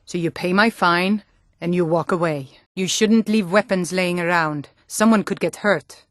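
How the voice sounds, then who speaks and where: A woman's voice: fairly low pitch, unhurried, no echo, studio quality, lightly acted. A woman playing a plain-spoken, sturdy Nord townswoman and guard in a fantasy video game, with a firm, direct manner. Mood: stern, suspicious.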